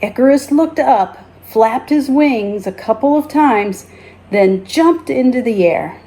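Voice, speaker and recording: A woman reading a children's story aloud as a teacher, in a deliberate, expressive storytelling manner. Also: on the high side.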